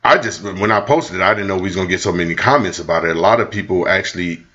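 Man, late forties, low voice, relaxed joking podcast co-host, muffled lo-fi sound. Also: fast talker. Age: 40s. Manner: relaxed, joking, sings snatches of songs. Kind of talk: casual chat.

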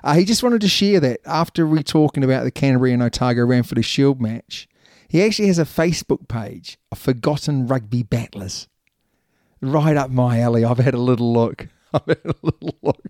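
Chatty New Zealand-accented man, mellow tone. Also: mid-range voice, a little fast, no echo, studio quality. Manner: chatty, laughs easily, rambling asides. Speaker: podcast co-host. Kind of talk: casual rugby podcast chat.

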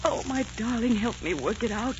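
A woman's voice: on the high side, animated, moderate pace, close to an everyday voice. An actress playing a passionate, desperate young Queen of Scots in a melodramatic, imploring 1940s radio romance style. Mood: hurt, insistent. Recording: dull, noisy 1940s radio recording.

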